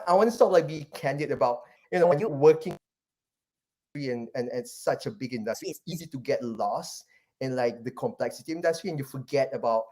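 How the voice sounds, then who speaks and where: A man's voice: mid-range voice, darkish tone. A man in his thirties from Malaysia tells his career story in a loose, rambling way. He restarts sentences and leans on fillers as he thinks aloud. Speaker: guest, venture capital partner. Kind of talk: casual interview, life story.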